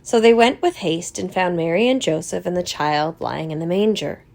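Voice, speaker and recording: A woman reads scripture in a church service in a formal style. Her voice sits a little low, with a noticeable creak. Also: normal speed, darkish tone.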